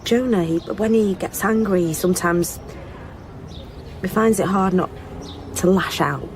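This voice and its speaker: An actress playing an anxious, grieving best friend of a missing woman, halting and pleading in a naturalistic radio drama style. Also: fairly low pitch, darkish tone. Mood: hesitant, worried.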